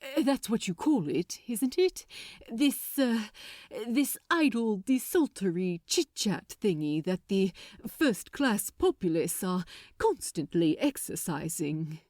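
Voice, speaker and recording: A woman voicing a gentle male angel in a fan fiction audiobook, prim, mild and hesitant in manner. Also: medium pitch, very animated, slow, no room sound, studio quality. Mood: hesitant, puzzled.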